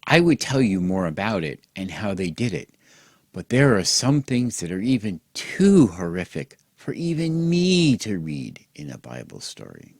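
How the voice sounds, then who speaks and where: A man hosting and telling a mock children's bedtime story in an exaggerated gentle, sing-song way, as if speaking to small children. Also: sits low, big pitch swings, a little slow, no echo, studio quality. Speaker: host and storyteller.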